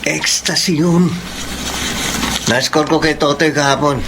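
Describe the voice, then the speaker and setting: A man with a Filipino accent acting a possessive, violent, gruff young boyfriend in a radio drama. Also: on the high side, steady tone, measured pace, noisy background. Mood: curt, suspicious. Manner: menacing, domineering, gruff.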